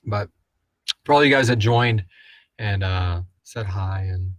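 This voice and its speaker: Low-voiced man chatting casually, dry close sound. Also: slow pace, no room sound. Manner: rambling, informal, jokey, mildly sweary. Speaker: co-host and producer of an audio drama podcast. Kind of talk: casual live Q&A chat with listener questions read aloud.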